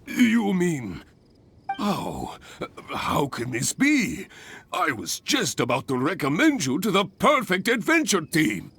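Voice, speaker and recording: An actor playing a theatrical, enthusiastic adventurers guild master in a video game, with a grandiose and effusive delivery. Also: male voice, fairly high pitch, huge pitch swings, takes it slowly, a bit bright, exaggerated voice. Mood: dismayed, coaxing. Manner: grandiose, effusive, pleading persuasively. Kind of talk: video game character acting.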